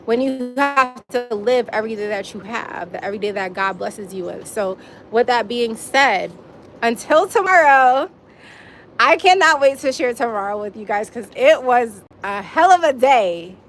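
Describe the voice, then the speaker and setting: A woman's voice: on the high side, moderate pace, a bit bright, soft background music. A woman hosting a casual live stream outdoors, chatting straight to her viewers with frequent little laughs. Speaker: livestream host.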